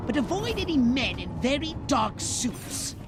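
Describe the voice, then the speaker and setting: A scheming alien commander briefing a mission in a game. The voice is high and wildly animated, crisp and imperious, over music. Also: unhurried, heavily acted. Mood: commanding. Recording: clear music behind.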